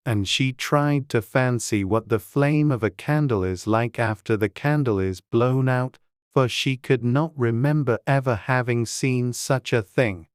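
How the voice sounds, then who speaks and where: A man's voice: medium pitch, measured pace, few pauses, clear, resonant tone, no echo, clean studio sound. A man reading scripted storybook narration as an audiobook narrator.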